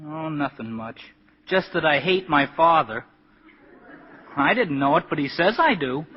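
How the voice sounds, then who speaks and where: An actor playing a naive, good-hearted, downcast young working-class fellow in a 1940s radio drama, simple and hesitant in speech. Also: male voice, fairly high pitch, animated, measured pace, a little hiss or hum. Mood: glum, naive. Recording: muffled 1940s radio broadcast.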